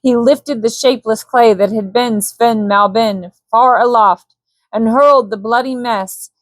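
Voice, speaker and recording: A woman reading a scripted bedtime story as a calm audiobook narrator. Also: on the high side, unhurried, no echo.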